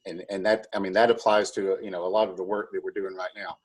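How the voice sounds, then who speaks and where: A man's voice: low voice, little pitch movement, fast, breathy edge. An American man, a drama teacher, chatting casually as an interview guest in a rambling, wry, easygoing way.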